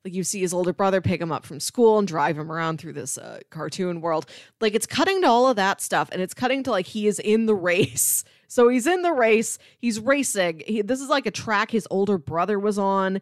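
A woman's voice: mid-range voice, animated, a little fast, few pauses, no room sound, clean studio sound. A woman hosting a casual film discussion, talking in an enthusiastic, analytical, rambling way full of "like". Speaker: film podcast host.

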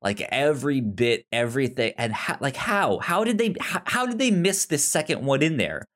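A podcast co-host chatting casually about film in a halting way, often repeating and stammering on words. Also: medium pitch, sing-song, a bit bright.

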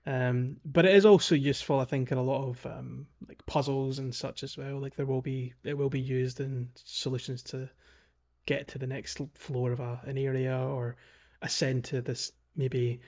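A man with a medium-pitched voice talks casually as a podcast host. He explains and chats in an informal gaming-podcast style. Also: little pitch movement, normal speed, a little mellow, highs cut off.

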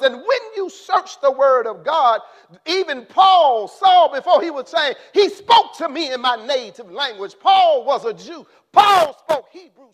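A man preaching a sermon in an impassioned way, rising to shouts and then dropping low.

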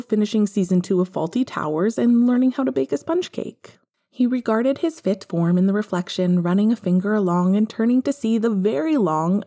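A woman's voice: medium pitch, mellow. A woman reading a story aloud in a wry, conversational narrating style. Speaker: podfic reader. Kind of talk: expressive scripted fiction narration.

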